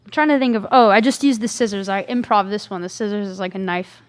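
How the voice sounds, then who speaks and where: A woman's voice: medium pitch, fast. A young woman, a student in a radio theater class, chatting casually. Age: young adult. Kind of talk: casual chat.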